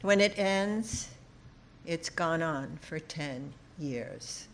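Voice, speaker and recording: A woman reading a literary personal essay aloud, with deliberate, dramatic phrasing and pauses. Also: deep voice, a little hiss or hum. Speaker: essayist reading own work.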